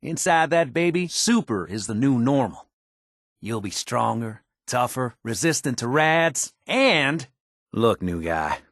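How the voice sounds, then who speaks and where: An actor playing a folksy, wisecracking American tinkerer in a video game, talking in casual slang. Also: male voice, medium pitch, a bit bright, dry recording, clean studio sound. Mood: blunt, exasperated. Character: folksy, wisecracking male tinkerer and scrappy settler ally.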